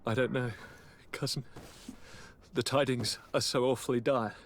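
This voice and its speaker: A man's voice: mid-range voice, fairly even intonation, moderate pace, a little hiss or hum, lightly acted. An actor playing a needy, anguished young aristocrat in a video game, theatrical and formal in his delivery. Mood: fearful, bitter. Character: sickly, anguished young male aristocrat governor, melodramatic and needy. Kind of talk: dramatic video game acting.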